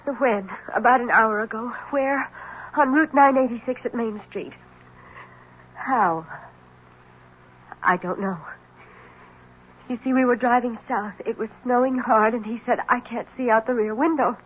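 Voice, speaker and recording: An actress playing a nervous young wife whose husband has vanished, speaking in halting, stammering lines in a 1970s radio drama. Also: female voice, on the high side, breathy edge. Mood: distressed. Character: anxious young wife of a vanished man, secretive. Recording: thin phone-like old radio broadcast.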